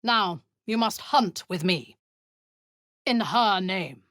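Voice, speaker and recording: A woman's voice: medium pitch, animated, slow, a bit bright, no echo, clean studio sound. A woman voicing a cruel, zealous drow warlord in a video game, giving cold commands that often sink into a menacing whisper.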